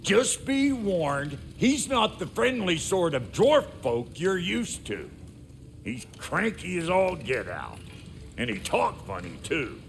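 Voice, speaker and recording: An actor voicing a cranky, foul-mouthed dwarf blacksmith in a video game, gruff and teasing, with a folksy American accent and backwoods grammar. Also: male voice, fairly high pitch, very animated, slow, a little hiss or hum, heavily acted. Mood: grumbling. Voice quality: gravelly. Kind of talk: video game character acting.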